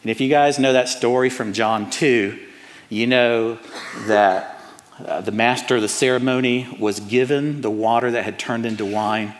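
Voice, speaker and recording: A man's voice: mid-range voice, steady tone, normal speed. Southern-accented middle-aged guy preaching, earnest, lots of uhs, big echoey church hall. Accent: Southern American. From Cuba, raised in Atlanta. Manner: earnest, personal, with frequent "uh" fillers. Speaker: pastor. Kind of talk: sermon. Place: church sanctuary.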